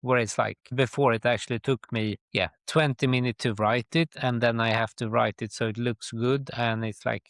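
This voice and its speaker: A middle-aged man from Sweden with a Swedish accent, answering interview questions conversationally in a halting way, often dropping in "like". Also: medium pitch, steady tone, fairly bright tone, no echo, clean studio sound. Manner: halting, with very frequent "like". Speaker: guest, tour company chief executive.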